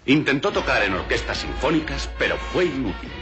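An actor playing a solemn, mock-grave documentary narrator in a film comedy. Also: male voice, mid-range voice, big pitch swings, fairly quick, over music, natural voice.

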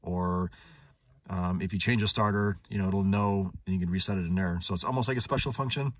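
A man's voice: deep voice, fairly even intonation, a little fast, no room sound. A man doing a casual, unscripted product demonstration as an automotive technician, thinking aloud in a conversational way. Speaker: automotive technician reviewing a scan tool. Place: inside a parked car in a shop.

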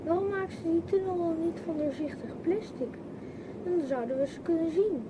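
Woman as a high-voiced curious child. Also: normal speed. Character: curious, wondering young child. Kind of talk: Dutch radio play acting. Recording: clear vehicle-like background noise.

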